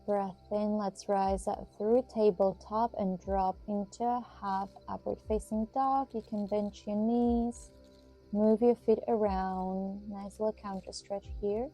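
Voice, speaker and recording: Very mellow, soft-toned woman guiding yoga. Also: medium pitch, steady tone. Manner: soothing, measured instruction with pauses. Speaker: yoga instructor and host. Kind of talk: guided yoga and meditation instruction. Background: music.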